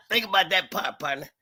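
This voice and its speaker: A woman telling stories in casual, slangy talk, with emphatic points and halting, repeated starts. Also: mid-range voice, sharp tone, husky. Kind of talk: casual chat, storytelling.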